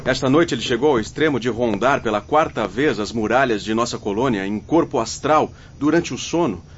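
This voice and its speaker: An actor playing a stern, concerned official of a spirit colony in a radio drama, speaking formally. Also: male voice, medium pitch, a little fast, breathy edge, close to an everyday voice. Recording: dull recording with faint ambient noise.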